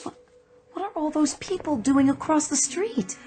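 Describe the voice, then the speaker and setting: Radio drama: anxious suburban wife female actor, caring, high voice over soft music. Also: normal speed, natural voice. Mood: worried. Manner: worried, questioning. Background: background music.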